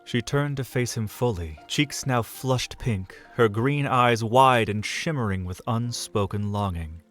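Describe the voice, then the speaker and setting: A man narrating a romantic fan audio drama, measured and soft. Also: medium pitch, faint music behind.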